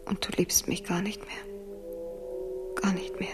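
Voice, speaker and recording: A woman's voice: medium pitch, flat delivery, unhurried, over music, close to an everyday voice. An actress playing a grieving young French queen in a German radio drama, solemn and tender, moving between half-whispers and noble pleading. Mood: whispered grief.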